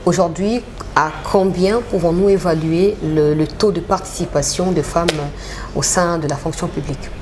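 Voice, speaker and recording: A woman's voice: lowish voice, steady tone, moderate pace, darkish tone, slight background noise. A woman journalist with a Congolese French accent, asking direct, probing questions and presenting a documentary. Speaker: journalist and interviewer.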